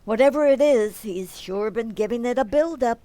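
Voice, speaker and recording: A woman's voice: on the high side, normal speed, dark tone, no echo, close to an everyday voice. A woman playing an eagerly curious, chirpy, insistent housewife in an old-time radio comedy. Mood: cheerful.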